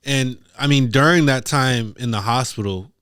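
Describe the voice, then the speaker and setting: A man's voice: mid-range voice, normal speed, fairly bright tone, clear, resonant tone, no echo, studio quality. A man interviewing a guest casually as a podcast host.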